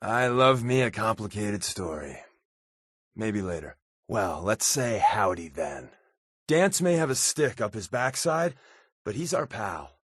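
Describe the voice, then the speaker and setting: A man playing a wry, sarcastic spy companion in a video game, with a deadpan, flippant delivery. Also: fairly low pitch, measured pace, husky, dry recording, clean studio sound, exaggerated voice.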